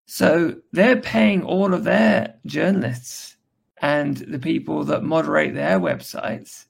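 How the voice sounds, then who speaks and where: Croaky-voiced Englishman with a gravelly rasp. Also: a little high. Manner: halting, restarts often. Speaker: Reddit moderator in a group voice chat. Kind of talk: casual group discussion.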